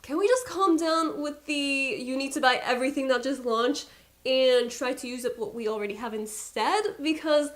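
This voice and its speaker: A woman's voice: sits high, normal speed. A young woman with a Persian accent, a beauty YouTuber talking casually to camera, chatty and exasperated with playful asides.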